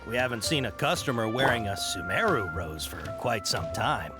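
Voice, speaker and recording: A man's voice: lowish voice, normal speed, loud music behind the voice, lightly acted. A man playing a courteous, jittery informant who poses as a fruit trader in a video game. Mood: polite, coded. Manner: polite, obliging, animated.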